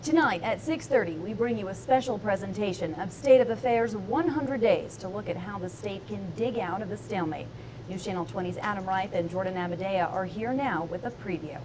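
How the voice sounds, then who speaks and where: A woman's voice: mid-range voice, brisk, noisy background. A woman anchoring a television newscast, reading scripted news in a broadcast delivery. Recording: music bed under studio voice.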